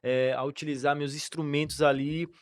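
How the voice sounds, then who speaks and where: Relaxed Brazilian man, dry close mic. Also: fairly high pitch, moderate pace, dry recording. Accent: Brazilian Portuguese. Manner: laid-back, slangy, encouraging. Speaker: podcast co-host, singer and lyricist. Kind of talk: casual podcast chat.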